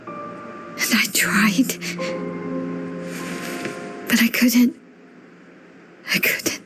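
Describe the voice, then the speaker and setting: An actress playing a guilt-ridden young daughter in a video game cutscene, speaking quietly and softly. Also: female voice, on the high side, steady tone, drawn-out words, over music. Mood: grieving. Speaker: game character.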